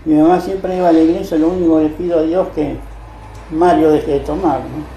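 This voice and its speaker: Older man, mellow gravelly voice. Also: a little high, steady tone, a little fast, slight background noise. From born in Slovenia, moved to Argentina at ten. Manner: rambling, with frequent tag questions. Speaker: interviewed artist. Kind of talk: casual personal storytelling in an interview. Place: book-lined indoor room, seated. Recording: echoey room pickup.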